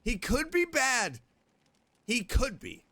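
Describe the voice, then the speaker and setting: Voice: mid-range voice, sing-song, takes it slowly, bright, forceful tone. A game streamer casually voicing replies and reacting during play. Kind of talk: casual read-along of game dialogue with reactions. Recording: game music faintly behind.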